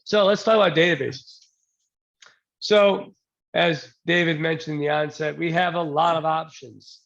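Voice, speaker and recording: A man with a very gravelly, slightly high voice presents a technical topic over a video call. He is getting over a cold and keeps a loose, joking manner with frequent ums. The sound is dull and lo-fi. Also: a little slow. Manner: relaxed, joking, many ums and restarts. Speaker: presenter at a user group talk. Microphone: new desk mic, then a headset.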